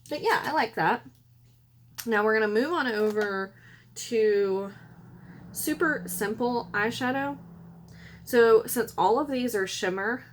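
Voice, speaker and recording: A woman, hoarse and losing her voice, chatting casually through a makeup tutorial at home. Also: medium pitch, slight background noise. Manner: chatty, rambling, self-correcting. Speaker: beauty vlogger. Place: room at home by a window.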